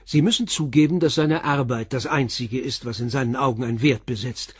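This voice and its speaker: Actor as a probing, composed police commissioner. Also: male voice, mid-range voice, husky, no echo, studio quality, lightly acted. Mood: persistent, pressing. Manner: probing, measured questioning. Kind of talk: German crime radio play dialogue.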